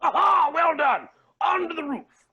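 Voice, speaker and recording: A man's voice: high-pitched, sing-song, normal speed, creaky, sharp tone, slightly rough, dull audio, full character voice. A man playing a flamboyant, scheming villain in a comedic audio drama, pompous and mock-polite with theatrical condescension. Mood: gleeful.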